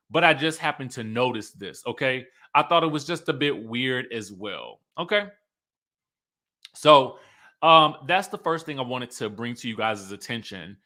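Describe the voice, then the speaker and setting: A man hosting an online review show, chatting casually and emphatically to his viewers and often checking in with them. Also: mid-range voice. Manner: animated, conversational, emphatic, often checking in with listeners. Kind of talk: casual livestream commentary to viewers.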